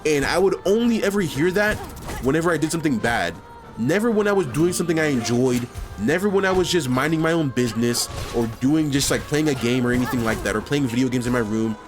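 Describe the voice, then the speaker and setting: Animated, casual male commentator over music. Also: medium pitch, fast. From New York, USA. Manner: casual, heartfelt, filler-heavy, swearing. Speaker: commentary channel host. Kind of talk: reaction commentary.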